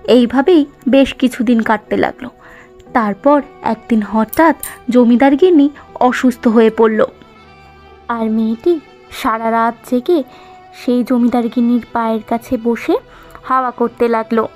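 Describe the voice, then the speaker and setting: A woman's voice: a little high, steady tone, unhurried, pauses often, darkish tone. A woman reading scripted narration for a cartoon moral story. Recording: muffled lo-fi audio with music bed. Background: background music.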